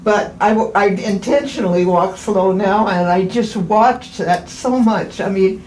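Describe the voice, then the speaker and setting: A woman's voice: mid-range voice, a little slow, darkish tone. A woman in her eighties chatting casually as a patient, rambling and often restarting her phrases. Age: 80s. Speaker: podiatry patient. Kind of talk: casual chat during an appointment. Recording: echoey room with faint background noise.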